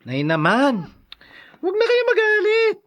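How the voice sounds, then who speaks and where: A man's voice: extremely high pitch, huge pitch swings, measured pace, fairly bright tone, heavily acted. A man with a Filipino accent playing a flippant, happy-go-lucky young father in a radio drama. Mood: wheedling, flippant. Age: young adult. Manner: wheedling, flippant.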